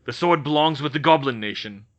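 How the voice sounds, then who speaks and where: A man's voice: fairly high pitch, fairly quick, fairly bright tone, lo-fi sound, voice pushed up, lightly acted. A man voicing a haughty, scornful male goblin ambassador who growls his words. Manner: growling, contemptuous.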